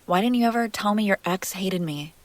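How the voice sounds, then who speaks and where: A woman playing a jealous, hurt young woman confronting her crush in an intimate audio roleplay, halting, sarcastic and emotionally raw. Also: mid-range voice, lightly acted. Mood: bitter, accusing.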